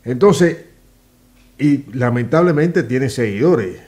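A man in his sixties, a guest in a political commentary chat, speaking indignantly and emphatically with halting restarts in a small office studio. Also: medium pitch, animated, darkish tone.

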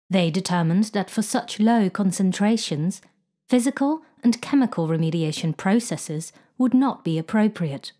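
A woman giving a measured, clear scripted narration for a corporate explainer video. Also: mid-range voice, mellow. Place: studio voice-over.

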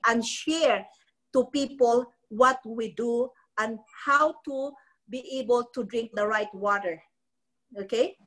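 A woman's voice: a little high, fairly bright tone, poor audio. A middle-aged Filipino woman giving a motivational business training talk on a live video call from her living room, close to a phone mic, repeating and restarting phrases. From Philippines. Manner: repetitive, restarting, preachy. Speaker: network marketing trainer. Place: ornate living room at home. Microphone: phone close to the face.

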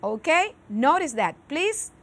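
Middle-aged female TV teacher with a Cuban Spanish accent, slightly high voice, slow and careful, switching between Spanish and English, studio lapel sound. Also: big pitch swings, fairly bright tone. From Cuba. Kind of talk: televised classroom lesson. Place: TV studio with virtual set.

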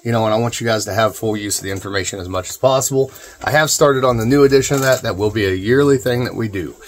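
Middle-aged male vlog host, lowish voice, folksy and informal, talking straight to camera from a room at home. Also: fast, a little hiss or hum. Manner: folksy, informal, addresses viewers as guys. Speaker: distiller and video channel host. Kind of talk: casual talking-head vlog. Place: small cluttered room at home, indoors.

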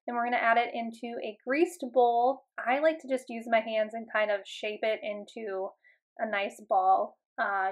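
Relaxed, chatty woman with a higher voice. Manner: relaxed and chatty, with frequent ums and uhs. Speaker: home cooking vlogger. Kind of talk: casual voice-over narration. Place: home kitchen voice-over.

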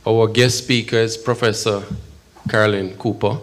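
Middle aged jamaican guy speaking at a podium, kinda deep voice, measured n emphatic with pauses. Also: little pitch movement, noisy background. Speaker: consul general, guest speaker at podium. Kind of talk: public speech at a community event. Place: event hall, at a podium before a seated audience. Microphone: podium microphone.

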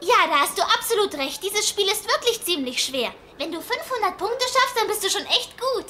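A woman's voice: very high voice, big pitch swings, moderate pace, bright, forceful tone, soft background music, full character voice. A woman playing a bubbly, scatterbrained teenage schoolgirl in an anime audio drama. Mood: amazed.

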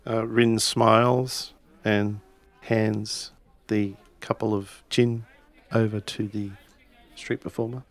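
A man's voice: on the low side, level tone, takes it slowly. A man playing a tabletop roleplaying game, narrating his character's actions in the third person.

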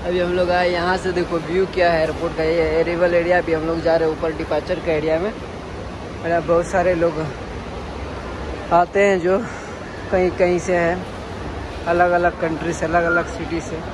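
High-pitched young man vlogging over loud noise. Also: little pitch movement, moderate pace, long pauses. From Bihar, India. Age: young adult. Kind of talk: casual vlog commentary to camera. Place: outdoors at busy airport forecourts and streets. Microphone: phone at arm's length. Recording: loud outdoor traffic and city noise.

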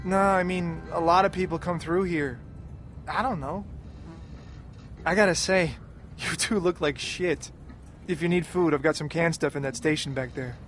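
An actor playing a friendly, talkative and wry wanderer in a video game. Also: male voice, on the high side, normal speed, long pauses, a bit bright, a little hiss or hum, natural voice. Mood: wry, sociable. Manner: easygoing, wry.

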